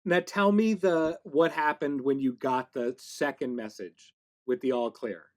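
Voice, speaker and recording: A man's voice: medium pitch, measured pace. A man hosting a casual podcast interview, with a wry, joking way of talking.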